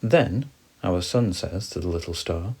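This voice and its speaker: A man gently reading a bedtime story for children, expressive and soft. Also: low-pitched, a little slow, husky.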